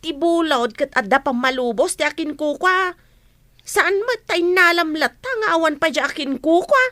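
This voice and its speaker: Cheeky maid who talks back; high voice, dry studio sound, radio drama. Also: female voice, expressive, normal speed, sharp tone, dry recording. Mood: scolding. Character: plucky housemaid who talks back to her employers.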